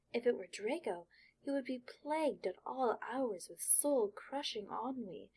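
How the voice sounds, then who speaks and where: Husky woman reading a storybook. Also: on the high side. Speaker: audiobook narrator. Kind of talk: fan fiction audiobook reading.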